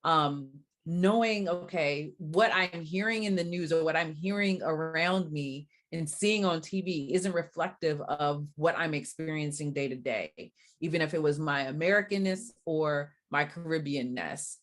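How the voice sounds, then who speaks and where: A woman from Barbados, a diversity coach, answering interview questions in a thoughtful, measured way with frequent pauses. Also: deep voice.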